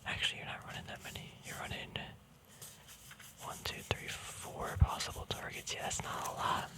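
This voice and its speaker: Man, soft breathy whisper, ASMR, casual commentary, hesitant, thinking aloud. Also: normal speed. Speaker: ASMR video creator. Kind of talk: ASMR, casual rambling commentary on a card deck.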